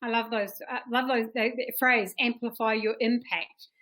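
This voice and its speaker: A woman's voice: on the high side, fairly even intonation, moderate pace, fairly bright tone. A woman hosting an interview show, introducing her guest with enthusiasm. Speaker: host.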